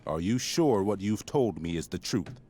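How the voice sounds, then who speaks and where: A stern middle-aged school principal with a lowish voice. He speaks in formal, pompous, wordy sentences, handing down judgment as in a dramatic video game scene. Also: animated, moderate pace, warm tone. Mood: grave, disappointed.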